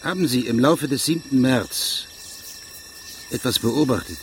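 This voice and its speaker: A man's voice: mid-range voice, lively intonation, unhurried, lightly acted. Over a noisy background, a man acts as an investigating judge in a radio play. He puts his questions formally and precisely, with methodical persistence and careful, official phrasing. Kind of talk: German radio drama acting.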